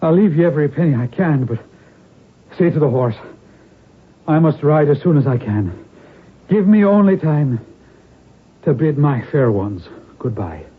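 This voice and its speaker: Proud Irish nobleman, husky, grave and dramatic, radio drama, tinny old broadcast sound. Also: fairly high pitch, lively intonation, unhurried, pauses often. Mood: grave, desperate. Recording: muffled narrow-band radio broadcast recording.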